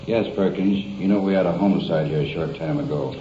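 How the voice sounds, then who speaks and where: Deep, echoey American police lieutenant. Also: male voice, a little fast. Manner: businesslike, clipped. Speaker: police lieutenant giving orders. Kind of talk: briefing a subordinate. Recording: echoey, muffled 1950s field recording, faint noise.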